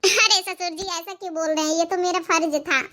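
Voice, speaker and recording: A woman's voice: very high voice, steady tone, brisk, sharp tone. A woman voicing a bold, bossy young woman in a cartoon comedy, with an assertive, theatrical, scolding manner. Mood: firm, friendly. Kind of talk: cartoon comedy drama dialogue.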